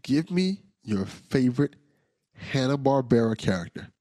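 Brief: eager male podcast host, higher voice with lively pitch, stutters on restarts, dry close sound. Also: a little slow, dry recording. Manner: enthusiastic, repeats words as he starts sentences. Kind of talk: casual interview chat.

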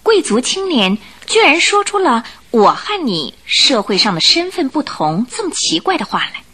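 A woman narrating a radio drama in an expressive, scripted storytelling style. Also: high-pitched, moderate pace.

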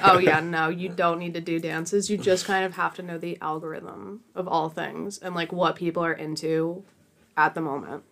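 Woman, 20s, podcast guest, casual and laid-back, lowish pitch. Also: fairly even intonation, normal speed, vocal fry, fairly bright tone. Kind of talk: casual chat.

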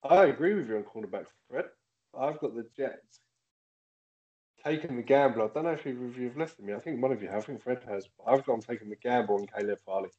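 A man co-hosting a casual podcast, chatty and quick to laugh at his own jokes. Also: mid-range voice, dark tone. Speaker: podcast co-host. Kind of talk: casual chat. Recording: dull lo-fi sound.

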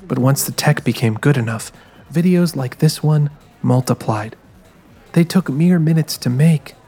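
A man's voice: a little high, unhurried, warm tone, soft background music. A man voicing a rueful, determined young woman who narrates her story in a confiding way. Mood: musing. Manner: musing, confiding. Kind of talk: first-person dramatized scripted narration.

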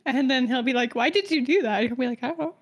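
A woman's voice: high voice, fast talker, slightly rough. Chatty, giggly woman podcaster. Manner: chatty, giggly, dramatic storytelling. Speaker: podcast co-host presenting lore research. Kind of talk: casual podcast chat.

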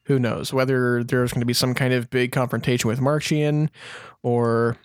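A man's voice: mid-range voice, level tone, normal speed, clean tone, dry recording. Man chatting casually, close dry sound. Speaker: podcast co-host. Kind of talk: casual podcast chat.

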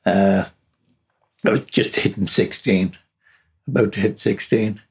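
A man's voice: fairly low pitch, fairly even intonation, a little slow, gravelly, husky. A man with an Irish accent, telling personal stories in a rambling way with frequent chuckles and restarts. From Ireland, raised partly in England. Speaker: podcast guest. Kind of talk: casual personal storytelling.

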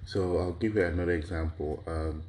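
Low-voiced man lecturing, slightly hoarse. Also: steady tone, moderate pace, a little mellow. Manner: rhetorical questions, repeats lists with "right?". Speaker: lecturer on intellectual property. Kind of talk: explanatory lecture to an audience.

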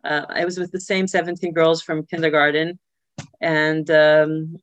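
A woman who grew up in Israel, telling her life story as an interview guest, pausing often with filler sounds and restarts. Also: sits low, level tone, no echo. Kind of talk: personal life story in conversation.

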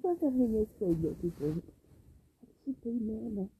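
A woman's voice: on the high side, animated, normal speed, warm tone, slight background noise, poor audio. A Kenyan woman co-hosting a podcast in casual, bantering chat. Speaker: podcast co-host. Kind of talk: casual bantering chat, mixing Swahili and English.

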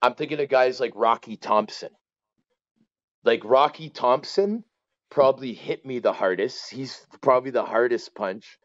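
A man in his forties with a Canadian accent, telling stories casually as a podcast guest, colloquial and animated, often checking that the listener follows. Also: mid-range voice, a little slow, dry recording, lo-fi sound. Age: 40s. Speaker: former pro hockey player, interview guest.

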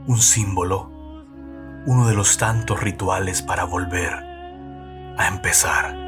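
A man reads a scripted introduction very slowly and deliberately, with a lowish voice. He gives each phrase weight and space. A clear music bed plays loudly under him. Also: fairly even intonation. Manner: slow, measured, solemn reading. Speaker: narrator introducing the episode. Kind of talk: scripted narration over music. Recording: narration over loud music bed.

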